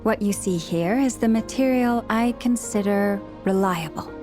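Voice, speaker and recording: A woman's voice: mid-range voice, a little mellow, natural voice. Actress as a composed, scholarly game archivist woman. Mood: measured, serious. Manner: measured, formal, articulate. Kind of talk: video game voice acting. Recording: music behind the voice.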